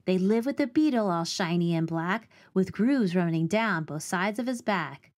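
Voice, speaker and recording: A woman reading a picture book of rhymes and nature facts aloud to children, with expressive, clearly phrased delivery. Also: on the low side, moderate pace.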